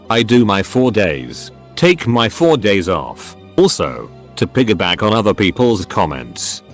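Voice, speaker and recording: A man reading forum stories aloud as a scripted online narration. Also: fairly low pitch.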